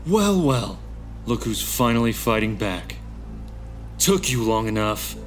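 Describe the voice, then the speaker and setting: A man's voice: lowish voice, sing-song, slow, faint music behind. Man acting cold, menacing male assassin. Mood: taunting. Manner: terse, cold, condescending. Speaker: voice actor in a fan dub. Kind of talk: dramatic visual novel fan dub.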